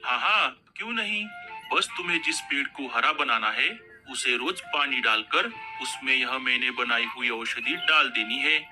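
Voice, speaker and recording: A man voicing a kindly, excitable owl doctor, an expressive old-style uncle in a children's cartoon. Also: mid-range voice, unhurried, music in the background, lightly acted. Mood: helpful, warm. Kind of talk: Hindi children's cartoon dialogue.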